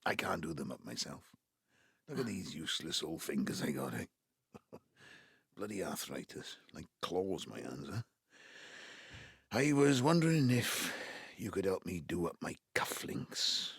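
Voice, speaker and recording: Husky, gravelly old Welsh patriarch. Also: male voice, low voice, big pitch swings, measured pace, long pauses, no room sound, studio quality, heavily acted. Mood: wistful, gruff. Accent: South Wales Valleys Welsh. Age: 70s. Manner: rambling, chummy, groping for words. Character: ageing Welsh patriarch, folksy, needy, jovial widower of 75. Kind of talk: naturalistic audio drama acting.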